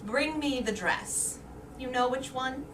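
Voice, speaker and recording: A woman's voice: sits high, slow pace. A woman playing a vain, anxious middle-aged wife in a staged reading, with a theatrical, emotional delivery. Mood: girlish excitement. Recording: distant roomy stage recording with background noise.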